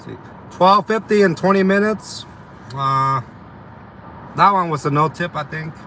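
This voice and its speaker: A young man with a slight Vietnamese accent talks in a loose, rambling way to live viewers, with plenty of ums and uhs. His voice is bright and forward. Faint city and traffic sounds hang in the background. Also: high-pitched, unhurried. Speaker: delivery driver streaming to viewers. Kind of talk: casual live chat reading viewer comments.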